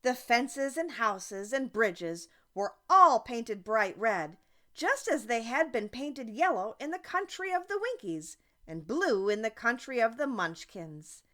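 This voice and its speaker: A woman narrates a children's audiobook in a fairly high voice. Her delivery is expressive and deliberate, with lively intonation and slow, careful phrasing. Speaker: audiobook reader. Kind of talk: scripted children's story narration.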